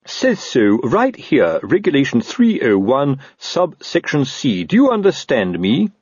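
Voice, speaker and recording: A man's voice: medium pitch, huge pitch swings, unhurried, darkish tone, slightly rough, no room sound, muffled recording, pitched above the performer's own voice, full character voice. A man voicing a fat, old, pompous police captain who snaps officiously and suspects everyone, in a fantasy audiobook. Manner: snapping, blustering, officious.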